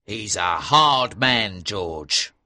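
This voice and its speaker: A woman's voice: very deep voice, animated, extremely slow, sharp tone, voice pushed down, full character voice. A woman voicing an earnest, dutiful male naval officer in a storybook, with a firm, respectful, serious manner. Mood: firm, cautioning.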